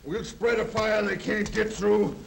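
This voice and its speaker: An actor playing a rugged outlaw who barks terse orders in 1930s radio Western style, on a very noisy old recording. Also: male voice, high voice, fairly even intonation, unhurried, vocal fry, heavily acted. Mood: barking orders. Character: rugged outlaw barking orders to his gang. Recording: very noisy 1930s film soundtrack.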